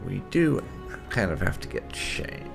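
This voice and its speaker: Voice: low voice, sing-song, measured pace, vocal fry, music in the background. A gaming host giving casual let's play commentary with some light roleplay. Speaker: gaming video host, player.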